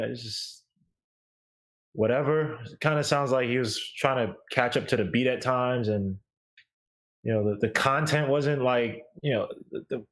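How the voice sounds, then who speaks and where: A man giving music opinions in casual chat, hedging and hesitant as he talks. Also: mid-range voice. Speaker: music reviewer on a panel.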